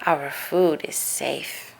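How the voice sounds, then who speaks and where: A woman's voice: low voice, fairly even intonation, very slow, creaky, voice pushed down, exaggerated voice. A woman voicing an earnest, easily dismayed male bear in a children's storybook, with emphatic exclamations. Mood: cheerfully assuring.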